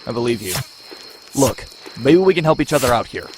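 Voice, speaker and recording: An actor playing a wisecracking young vigilante antihero in a motion comic, with sarcastic, exasperated banter. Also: male voice, medium pitch, lively intonation, fairly quick, background noise, close to an everyday voice. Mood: wry, guarded.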